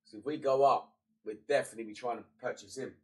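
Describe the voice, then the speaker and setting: A man's voice: medium pitch, fairly even intonation, fast, a little mellow. A young man with a stuffy, half-gone voice rambles matily over his football management game. He sits some way back from the mic, so he sounds a little distant. His voice sits in a middling register. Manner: chatty and matey, muttering to himself between bursts. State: congested, voice partly gone. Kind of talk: casual gaming commentary.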